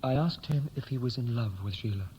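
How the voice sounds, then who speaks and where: An actor playing a defiant, independent adult son, wounded, in a 1960s radio play. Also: male voice, mid-range voice, fairly quick, warm tone, highs cut off, natural voice. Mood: wistful, bitter. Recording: hissy 1960s radio recording.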